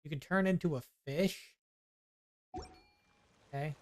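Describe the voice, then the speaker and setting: A man's voice: fairly high pitch, measured pace. A man giving casual commentary while playing a video game. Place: voice-over on game audio with music behind.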